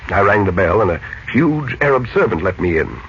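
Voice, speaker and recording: Muffled old radio recording, American mystic hero, middle-aged, lowish lively voice, calm, assured, kindly uncle. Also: male voice. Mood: pensive, explaining. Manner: measured, reassuring, assured. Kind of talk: 1940s radio serial acting. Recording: muffled, noisy late-1940s radio recording.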